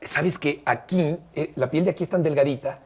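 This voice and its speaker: A middle-aged Mexican man speaks as an interview guest, recounting events in careful detail with occasional hesitations. His voice is somewhat husky and sits a little high for a man. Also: darkish tone. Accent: Mexican Spanish. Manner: measured, detailed storyteller with frequent hesitations. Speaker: interview guest, sports broadcaster. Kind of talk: conversational storytelling.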